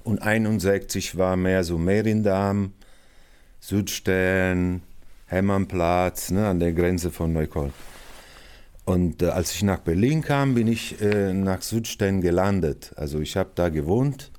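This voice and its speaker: A man in his sixties from Naples with an Italian accent, giving halting, thoughtful interview answers as an artistic director. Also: deep voice, darkish tone, smooth. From Naples, Italy. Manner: halting, searching for words, frequent pauses.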